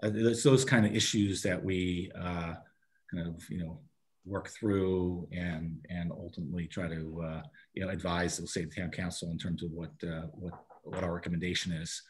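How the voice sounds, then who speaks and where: Man, 60s, low register, halting committee speaker reporting informally over a video call. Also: level tone. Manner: halting, often breaking off and rephrasing. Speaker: parks and recreation committee member reporting to a town council. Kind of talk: informal spoken report at an online meeting.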